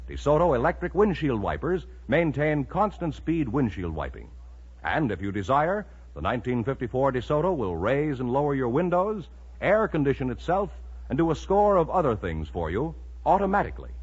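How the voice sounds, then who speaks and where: A man's voice: mid-range voice, slightly rough. An American man reading a 1950s radio commercial with a polished, emphatic sales delivery. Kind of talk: 1950s radio advertising read. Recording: muffled vintage radio recording.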